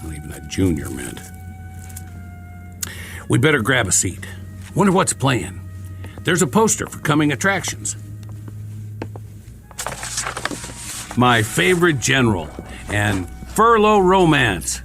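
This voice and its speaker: An actor playing a chatty, wry American soldier in a radio drama, talking in a folksy, rambling, joking way. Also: male voice, mid-range voice, huge pitch swings, measured pace, creaky, long pauses, fairly bright tone, soft background music, exaggerated voice. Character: chatty, wry, friendly American soldier, lonely war survivor.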